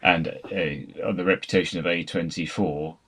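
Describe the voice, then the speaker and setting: A man's voice: low voice, steady tone, normal speed, a bit bright, breathy edge. A man speaking as a podcast guest in a casual, conversational discussion. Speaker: podcast guest, co-host of a gaming podcast.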